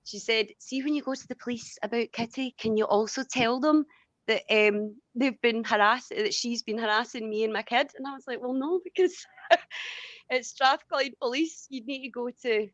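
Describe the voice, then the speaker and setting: A woman's voice: on the high side, moderate pace, a bit bright, dry recording. Dull-sounding sweary Scottish woman. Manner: sweary, blunt, rambling. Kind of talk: casual heated venting chat.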